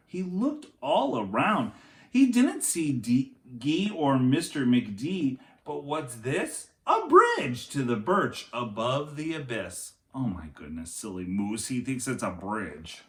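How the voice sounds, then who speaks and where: A man reading a picture book aloud to young children like a teacher, in an expressive, dramatic way with chatty asides. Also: mid-range voice, slow, echoey room.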